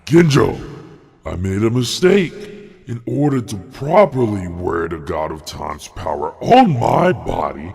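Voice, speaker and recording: A man's voice: very animated, unhurried, vocal fry, a little mellow, exaggerated voice. A man voicing a pompous, fanatical muscle-bound giant in an anime fan dub, with grandiose, formal delivery. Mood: pompous, solemn. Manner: grandiose, formal, booming when worked up.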